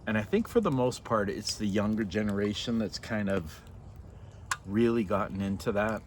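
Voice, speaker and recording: Older man, slightly low voice, casual vlog monologue, hesitant, sincere, reflective, outdoors, faint background noise. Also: normal speed. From born in Norway, moved to Canada as a child. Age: 50s to 60s. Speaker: vlogger. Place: outdoors by a fire in a yard at night. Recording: noisy outdoor ambience.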